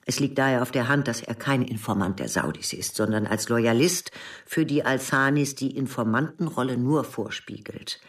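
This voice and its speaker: An actress playing a motherly, educated intelligence chief in her early sixties in a radio drama, speaking in a measured, deliberate way. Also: very deep voice, distant mic. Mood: controlled, matter-of-fact. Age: older. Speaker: actor in radio play. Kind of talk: German radio drama dialogue.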